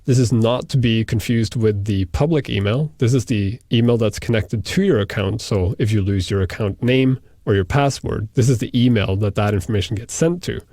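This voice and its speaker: A man whose first language is Norwegian, explaining software settings step by step in a screen-recorded tutorial. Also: fairly low pitch, moderate pace, few pauses, no room sound, clean studio sound.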